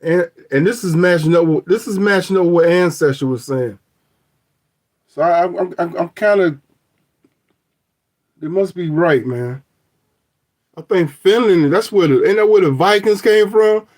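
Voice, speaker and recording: A man's voice: sits high, a little slow, pauses often, darkish tone. Rambling, joking man on a phone. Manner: rambling, joking, with laughs and restarts. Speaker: vlogger. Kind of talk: casual review of DNA results.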